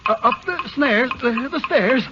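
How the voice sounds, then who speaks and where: A man's voice: very high voice, lively intonation, a little slow, over loud music. An actor playing a flustered, bossy old dwarf leader who stammers and muddles his words in a radio fantasy. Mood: nervous commanding. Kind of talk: 1930s radio fantasy acting. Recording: muffled, noisy old radio recording.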